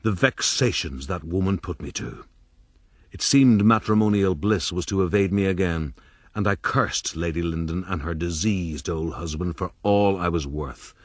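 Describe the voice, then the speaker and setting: Deep-voiced vain rogue narrating. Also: male voice, moderate pace, no room sound, studio quality. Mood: aggrieved, then gleeful. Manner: self-regarding, theatrical. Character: self-pitying, vain male rogue recounting his life. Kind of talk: radio drama narration.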